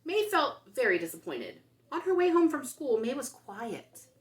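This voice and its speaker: A woman reading aloud and talking to young children as a storytime host, with expressive, simple phrasing. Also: sits high, moderate pace. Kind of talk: picture-book read-aloud for young children.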